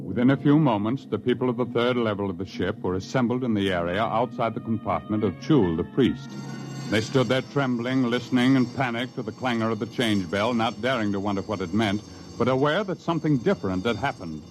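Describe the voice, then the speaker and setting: A male narrator of a vintage radio drama, reading in a measured, dramatic way at a middle pitch. A loud orchestral score with timpani plays clearly underneath. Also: music in the background, rough recording. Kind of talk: scripted narration.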